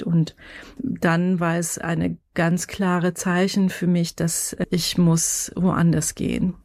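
Slow-speaking Iranian woman with a Persian accent. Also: on the low side, warm tone, no echo. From Iran. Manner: non-native German with irregular grammar and searching phrasing. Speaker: musician and festival director, interview guest. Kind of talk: radio interview conversation.

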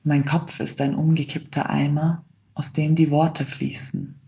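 A man slowly reading a reflective first-person text. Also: fairly high pitch, flat delivery, creaky, mellow, low-quality recording.

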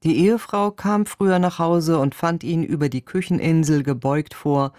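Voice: medium pitch, normal speed, darkish tone, no echo. A reader performing a literary excerpt with quoted dialogue in a dry, understated way. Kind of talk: literary reading.

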